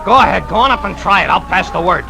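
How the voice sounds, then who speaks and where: An actor playing a blunt, grudging police lieutenant in 1940s radio style, urgent and exasperated. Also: male voice, sits high, quick pace, creaky, rough recording. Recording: old radio recording under loud music.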